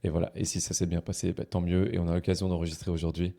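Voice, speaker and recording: A podcast host with a very low, darkly mellow voice. He talks in a relaxed, halting way, stopping and restarting his sentences as he chats with his guest. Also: creaky. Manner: hesitant, repeats and restarts phrases. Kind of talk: casual interview chat.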